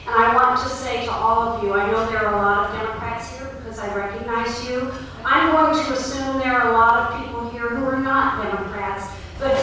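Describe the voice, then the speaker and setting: A woman's voice: fairly high pitch, sharp tone, slight background noise. A woman who chairs a local party group, speaking at a town hall in a chatty, self-joking way. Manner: chatty, joking about herself. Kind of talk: public remarks at a town hall. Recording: echoey hall mic from far off.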